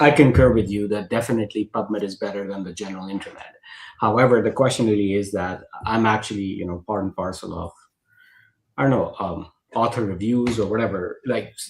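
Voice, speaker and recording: A man's voice: lowish voice, fairly quick. Emphatic man from Pakistan in echoey room. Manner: animated, restarts phrases, emphatic. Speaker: podcast host. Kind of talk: conversational podcast discussion.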